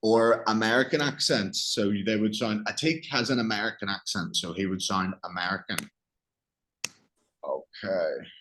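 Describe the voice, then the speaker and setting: A man's voice: fairly low pitch, normal speed, fairly bright tone, rough recording. A man with a Northern Irish accent teaching English one-on-one, explaining patiently with examples and questions. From Northern Ireland.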